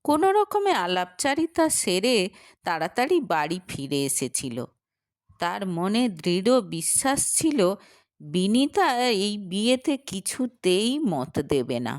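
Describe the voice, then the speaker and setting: A woman's voice: medium pitch, big pitch swings, measured pace, no room sound, studio quality. A woman reading a scripted romantic short story as its narrator.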